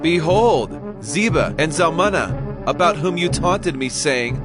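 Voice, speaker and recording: An actor playing a stern, vengeful ancient Israelite war leader in a dramatized scripture audio drama, speaking in a commanding, declamatory way. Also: male voice, on the high side, animated, unhurried. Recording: voice over prominent music score.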